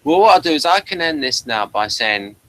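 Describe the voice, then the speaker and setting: A man hosting a review show, chatting casually in a hesitant, halting way and often repeating words. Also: a little high, very animated, a bit bright. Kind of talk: casual audio drama review chat.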